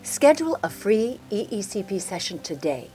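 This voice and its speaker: A woman's voice: medium pitch, sing-song, moderate pace, dark tone, soft background music. A woman reading scripted promotional narration as the narrator of an advert.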